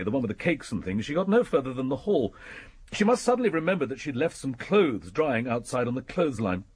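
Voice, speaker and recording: An actor playing a courteous, dry, mildly sceptical English police inspector in a radio detective drama, explaining things in a matter-of-fact way. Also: male voice, medium pitch, husky.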